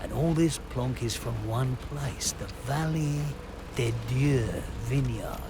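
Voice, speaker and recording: An actor playing a wry, cocky British covert agent in a World War II game, muttering in an understated way with a gravelly voice. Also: male voice, medium pitch, slow, noisy background, natural voice. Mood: wry, musing. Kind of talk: video game voice acting.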